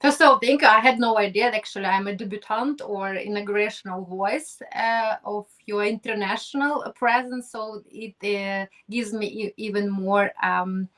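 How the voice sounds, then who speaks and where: A woman's voice: medium pitch, normal speed, hardly any pauses, fairly bright tone. A woman from Ukraine, speaking as a guest in an effusive, grateful way with phrasing. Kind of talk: conversational interview. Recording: distant from the mic.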